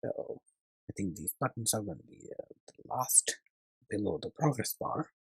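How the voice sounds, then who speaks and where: Man, coding tutorial instructor, husky, lively varied pitch, thinking aloud, step-by-step screencast narration. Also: normal speed, dry recording, poor audio. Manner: hesitant, thinking aloud while working.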